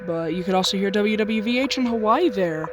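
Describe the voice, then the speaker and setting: A shortwave radio hobbyist giving casual, halting commentary on what the receiver picks up. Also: mid-range voice, music in the background. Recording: loud shortwave static and radio noise. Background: shortwave radio static and broadcasts.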